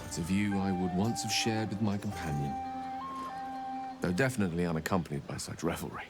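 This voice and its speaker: Guy voicing a low-voiced wordy wizard, kinda theatrical, music under him. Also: fairly quick, natural voice. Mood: wistful. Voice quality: eloquent, theatrical. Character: male wizard, wistful and eloquent. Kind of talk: video game voice acting.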